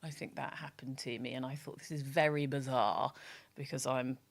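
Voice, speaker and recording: A woman with a loud voice speaking frankly and emphatically as a brand strategy guest in a podcast interview, often restarting her sentences. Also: a bass voice, steady tone, moderate pace, creaky. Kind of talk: candid podcast interview talk.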